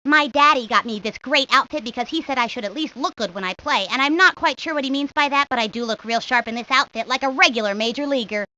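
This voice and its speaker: A woman's voice: high-pitched, fast, few pauses, pushed and bright. A woman voicing a talkative, confident young baseball-loving girl in a cartoon video game, rambling on matter-of-factly. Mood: proud, eager. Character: talkative, sporty young girl, ambitious baseball know-it-all. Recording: dull lo-fi 1990s game audio.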